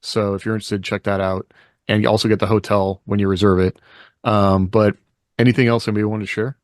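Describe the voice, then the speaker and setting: A man's voice: lowish voice, flat delivery, quick pace, dry recording, studio quality. A man hosting a casual podcast chat in an easygoing, loosely phrased way, as if thinking aloud. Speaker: podcast co-host and author.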